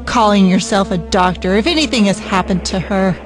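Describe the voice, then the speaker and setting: An actress playing an angry, protective mother, sharp and accusing. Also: medium pitch, fairly quick, music in the background, close to an everyday voice.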